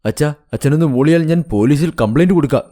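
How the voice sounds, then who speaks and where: A man's voice: a little high, fast, mellow, natural voice. A man playing an earnest, protective adult son in a cartoon moral story. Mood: alarmed, earnest.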